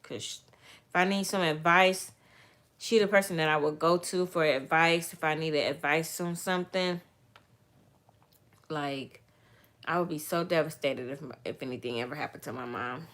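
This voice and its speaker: A woman vlogger chats with her viewers in a loose, rambling way. Her voice sits a little low for a woman, and her candid talk often turns soft and heartfelt. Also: moderate pace. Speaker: vlogger answering viewer questions. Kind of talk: casual livestream chat.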